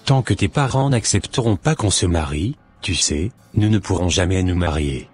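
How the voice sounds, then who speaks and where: Deep husky calm young man, voiced by a man. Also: soft background music. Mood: calm, hopeful. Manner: measured, patient. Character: calm, devout young man hoping to marry. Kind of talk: scripted cartoon dialogue.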